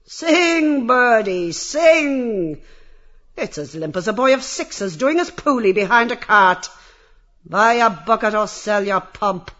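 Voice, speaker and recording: High-pitched, swooping, domineering bully. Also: male voice, animated, slow, pushed and bright, highs cut off, heavily acted. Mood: jeering, ruthless. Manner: taunting, bullying, theatrical barking. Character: domineering, jeering, cruel brothel boss turned auctioneer. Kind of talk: theatrical audiobook character reading.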